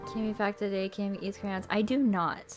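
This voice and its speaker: A woman's voice: medium pitch, little pitch movement, fairly quick, over music. A young woman streaming, chatty and playful, moving between casual chat and reading a visual novel aloud. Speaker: streamer and host.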